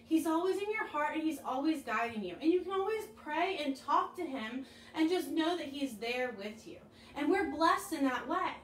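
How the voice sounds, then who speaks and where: Animated high-voiced woman teaching children. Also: normal speed. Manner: bright, animated, talking to children on camera. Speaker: children's chapel leader. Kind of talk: children's devotional and craft lesson. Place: living room, seated on a couch. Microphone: camera a few feet away. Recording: echoey room, mic at a distance.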